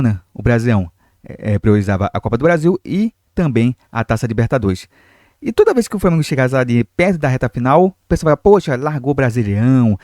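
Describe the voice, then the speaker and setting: A young man with a Brazilian Portuguese accent runs his football channel in a loose, chatty way, full of fillers and asides to the audience. His voice is mellow and a little rough from a cold he is getting over. The sound is dry and close. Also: mid-range voice, no room sound. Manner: chatty, many fillers, addresses viewers directly. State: recovering from a cold, irritated throat. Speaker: football commentary channel host. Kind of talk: casual football commentary to camera.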